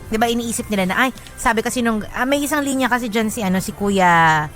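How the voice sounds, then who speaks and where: A woman's voice: on the high side, fast talker, fairly bright tone. Playful Filipino woman bantering over music. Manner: playful, sassy, interjecting, mixes in English. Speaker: radio DJ co-host. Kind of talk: casual radio banter and advice on listeners' love stories. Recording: music bed under the studio talk. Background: background music.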